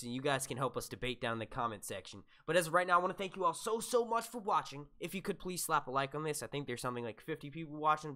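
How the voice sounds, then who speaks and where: A man's voice: a little high, pushed and bright. A man hosting a casual podcast chat, rambling with lots of fillers. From United States. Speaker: podcast host.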